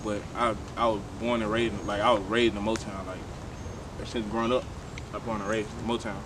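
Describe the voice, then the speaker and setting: A man's voice: lowish voice, level tone, brisk, far from the mic, noisy background. A man, talking loosely in a casual interview and often restarting his phrases. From Shreveport, Louisiana, USA. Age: 20s. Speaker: guest, tattoo artist.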